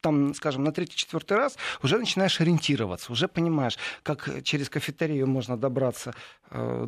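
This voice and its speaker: A man answering interview questions in a relaxed, digressive way, with many fillers and asides. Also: mid-range voice, vocal fry, dry recording, studio quality. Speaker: guest describing parliamentary assembly.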